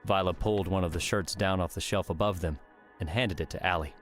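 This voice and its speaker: Low deep guy reading an audiobook story, music behind him. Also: steady tone, a little fast. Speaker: audiobook narrator. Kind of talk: scripted story narration. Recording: music under the voice.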